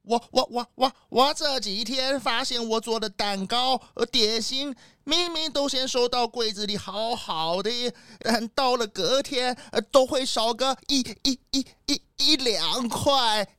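A woman's voice: a little high, unhurried, hardly any pauses, fairly bright tone, smooth, no echo, studio quality. A woman voicing a doddering, worried old male goat in a children's audio drama, stammering and bleating as he talks. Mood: puzzled, worried. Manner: stammering, bleating at phrase ends.

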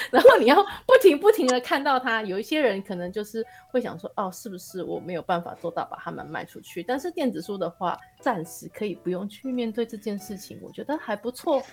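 A woman with a Taiwanese Mandarin accent in casual podcast chat, animated and self-deprecating as an author guest. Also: mid-range voice, brisk, soft background music.

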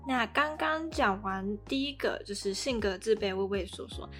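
A woman's voice: medium pitch, expressive, normal speed, a bit bright, soft background music. A woman with a Taiwanese Mandarin accent, co-hosting a podcast and explaining things in a conversational way. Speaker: podcast co-host.

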